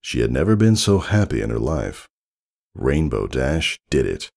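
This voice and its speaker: A man reading scripted story narration with dramatic, measured phrasing. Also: rumbling low, big pitch swings, creaky, a little mellow. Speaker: fan fiction narrator.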